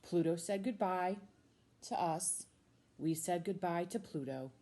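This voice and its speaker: A woman with an American accent, a teacher reading a storybook aloud to children with expressive, drawn-out emphasis on key words. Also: fairly low pitch, a little hiss or hum. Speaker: middle school science teacher reading a children's book.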